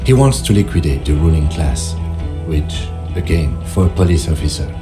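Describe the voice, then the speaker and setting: Low-voiced, composed police lieutenant. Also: male voice, big pitch swings, loud music behind the voice. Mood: dry, measured. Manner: measured, formal, dry. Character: composed, precise, loyal male police lieutenant. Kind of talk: video game voice acting.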